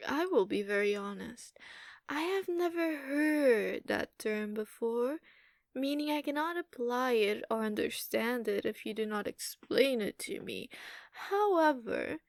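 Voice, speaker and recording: Woman voicing a slow, haughty, doting giantess dragon. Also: fairly high pitch, animated, gravelly, no room sound, clean studio sound. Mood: condescending. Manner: unhurried, condescending, teasing, with drawn-out hums. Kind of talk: ASMR roleplay.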